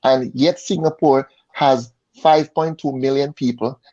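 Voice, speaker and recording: Mellow Jamaican man on a muffled call. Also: mid-range voice, steady tone. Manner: halting, repeats words as he searches for them. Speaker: interview guest, insurance advisor. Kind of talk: casual conversational interview answers. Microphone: online call. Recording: dull lo-fi online call audio.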